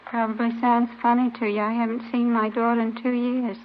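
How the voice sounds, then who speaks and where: A woman's voice: on the high side, little pitch movement, a little fast, close to an everyday voice. A woman playing a weary, resigned older American mother who runs a laundry, in a 1950s radio drama. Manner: plain, resigned. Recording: muffled, noisy old radio recording.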